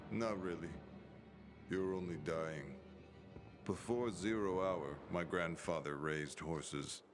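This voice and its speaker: An actor playing a cold, ruthless villain leader who speaks calmly and slowly with quiet menace. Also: male voice, low-pitched. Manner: calm, slow, menacing. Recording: game cutscene with music behind.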